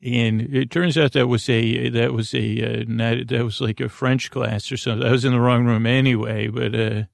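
A man hosting a sleep podcast in a creaky, lulling voice, meandering haltingly through tangents and interrupting himself as he goes. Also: mid-range voice, fairly even intonation, no echo, clean studio sound. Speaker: podcast host. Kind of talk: rambling bedtime sleep podcast monologue.

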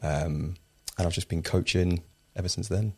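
Very deep-voiced man, relaxed and rambling. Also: moderate pace, breathy edge. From Tenerife, Spain. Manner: relaxed, rambling, with fillers and restarts. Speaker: personal trainer, guest. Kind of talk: casual interview answers.

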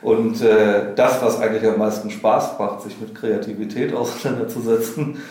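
A man's voice: on the low side, steady tone, normal speed, a little mellow. Man in a very echoey office. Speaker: audio publishing boss, interviewee. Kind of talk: casual interview chat. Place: indoor office. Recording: very echoey office, distant from mic.

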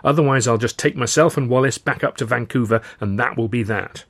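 A man's voice: medium pitch, a little fast, fairly bright tone. A man voicing a loving but weary and firm young woman in audiobook dialogue, speaking earnestly and pointedly.

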